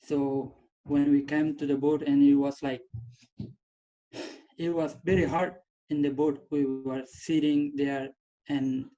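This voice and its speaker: Young man telling his story haltingly. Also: on the high side, little pitch movement, mellow. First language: not English. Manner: halting, repeats and restarts phrases. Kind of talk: personal testimony. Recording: dull lo-fi sound.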